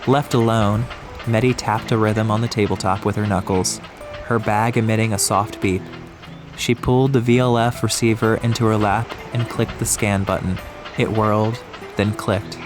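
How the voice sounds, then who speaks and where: A man's voice: on the low side, steady tone, normal speed. A man narrating an atmospheric audiobook story from a script. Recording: music behind the voice.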